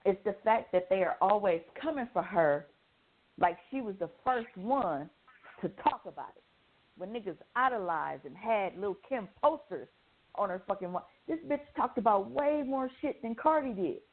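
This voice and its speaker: Over a narrow, phone-like recording, an American woman speaks in a mid-pitched voice. She is blunt and slangy, swearing freely as she gives her opinion in a casual group chat. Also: lively intonation, moderate pace. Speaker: radio show co-host. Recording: thin phone-like band-limited audio.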